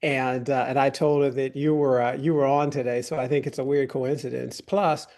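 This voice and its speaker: A man hosting an interview, with a voice of middling pitch. He talks in a folksy, affirming, chatty way, agreeing readily with his guest. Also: level tone, moderate pace, darkish tone. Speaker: real estate agent and show host. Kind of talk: interview on video call.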